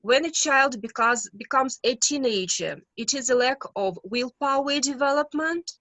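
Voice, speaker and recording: A woman with a Russian accent, a psychologist answering questions on a video call, speaking slowly and carefully with many hesitations. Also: high voice, expressive, fairly bright tone, no echo. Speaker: guest expert psychologist. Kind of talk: webinar Q&A answer.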